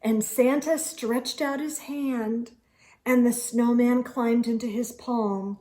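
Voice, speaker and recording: Slow, high-voiced woman reading a storybook. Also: fairly even intonation, dark tone. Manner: deliberate phrasing with dramatic emphasis. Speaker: children's storyteller. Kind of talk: expressive storybook read-aloud.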